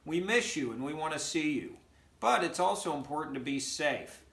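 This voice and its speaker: Male educator, middle-aged, slightly high-pitched, reading to kids with expression; recorded in a very reverberant room. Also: normal speed, gravelly. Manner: expressive, addressing children directly. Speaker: school educator reading aloud. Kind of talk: children's picture-book read-aloud. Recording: roomy, echoing home recording.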